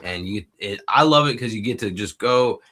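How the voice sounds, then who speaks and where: A man's voice: fairly low pitch, lively intonation, moderate pace, pushed and bright. A man chatting casually as a podcast co-host and livestreamer. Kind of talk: casual chat.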